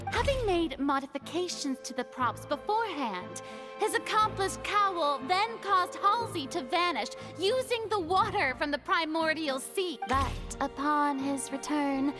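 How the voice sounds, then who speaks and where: A woman's voice: high-pitched, expressive, measured pace. A woman voicing a dramatic, showy female archon in a game cutscene, speaking grandly as if performing to an audience. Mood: grandiose. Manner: grandiose, theatrical, performing for a crowd. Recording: game audio with music.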